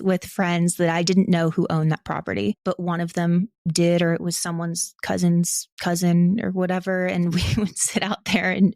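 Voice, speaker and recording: A woman's voice: on the low side, darkish tone, dry recording, clean studio sound. A young American woman talking casually and reflectively as an interview guest, rambling a little. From small town in Ohio, United States. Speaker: podcast guest, audio drama writer and voice actor.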